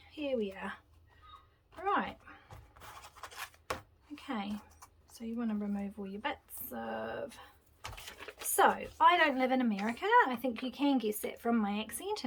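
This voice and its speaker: Woman craft tutor, slightly high voice, relaxed chatty talk, faint noise behind. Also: poor audio. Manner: chatty, relaxed, thinking aloud while working. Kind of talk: casual craft demonstration. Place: craft table indoors, hands seen from overhead camera.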